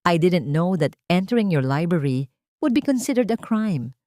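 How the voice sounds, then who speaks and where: A woman's voice: on the low side, very animated, normal speed, mellow, no room sound, studio quality. A woman voicing a guarded but smitten married man with a Filipino accent, defensive and placating, in an audio drama.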